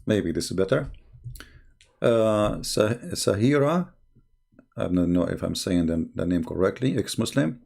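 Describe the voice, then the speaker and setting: Deep gravelly man with a tired voice. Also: expressive, a little mellow. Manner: halting, reads viewer messages aloud slowly. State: tired, strained voice. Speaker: host of a live broadcast. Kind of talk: casual live-stream talk.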